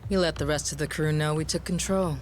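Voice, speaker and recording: An actor playing a confident, brash pirate ally who plans the attack, in a video game cutscene. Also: male voice, fairly high pitch, steady tone, a little fast, a little hiss or hum, natural voice. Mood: brash, assured.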